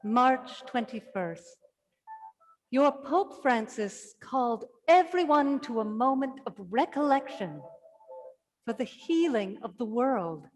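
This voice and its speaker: A woman playing the Earth as a weary, reproachful ancient mother in a staged play reading, speaking solemnly and deliberately with wry asides. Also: on the high side, full character voice. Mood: solemn warning. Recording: music behind the voice. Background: plucked guitar music.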